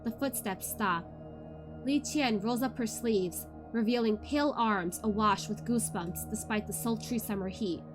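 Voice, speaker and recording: A woman hosting a read-along livestream in an informal, expressive way, with music in the background. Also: on the high side, normal speed. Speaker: VTuber host of a book read-along. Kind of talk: casual chatty livestream; expressive audiobook-style narration of a novel.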